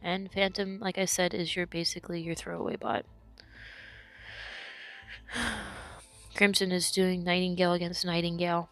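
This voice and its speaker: Woman, lower voice, hoarse from illness, casual gameplay commentary that explains each move; faint music under. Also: level tone, normal speed, long pauses, fairly bright tone. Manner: explanatory, talks through her decisions. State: losing her voice from illness.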